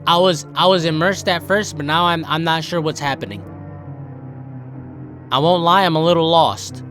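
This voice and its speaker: A man's voice: fairly high pitch, moderate pace, a bit bright, soft background music. A young American man giving casual, joking reaction commentary in exclamatory slang. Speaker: host of a reaction channel.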